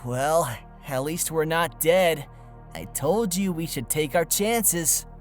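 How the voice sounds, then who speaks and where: Man playing slow-talking, laid-back space slacker. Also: on the high side, lively intonation, soft background music. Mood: mellow. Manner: slacker drawl, easygoing. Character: laid-back, fatalistic young dude stranded in space. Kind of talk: audio comedy drama acting.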